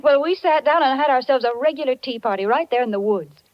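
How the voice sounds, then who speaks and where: An American woman pitches like a cheery 1940s radio announcer. Her voice is high and very animated, in a dry but muffled old recording. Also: quick pace, no room sound. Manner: cheery, gushing. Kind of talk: scripted 1940s radio advertising.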